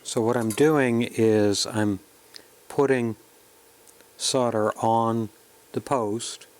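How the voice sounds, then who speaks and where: A man's voice: mid-range voice, steady tone, very slow, darkish tone, a little hiss or hum. A man narrating a casual hands-on electronics tutorial, plainly explaining each step as he works. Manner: plain, explanatory, talking through each step while working. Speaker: host of an electronics kit build tutorial.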